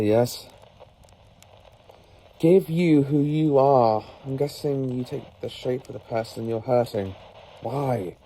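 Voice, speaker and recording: Man playing a mellow, analytical professor. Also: medium pitch, poor audio. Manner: measured, deductive, musing aloud. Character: calm, analytical male professor and protector battling the supernatural. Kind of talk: audio drama acting.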